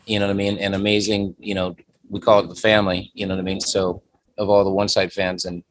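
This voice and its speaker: A man's voice: deep voice, little pitch movement, fast talker, slight background noise, poor audio. A man in his forties, a guest musician chatting casually in an interview, rambling with lots of filler phrases. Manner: rambling, many "you know" fillers.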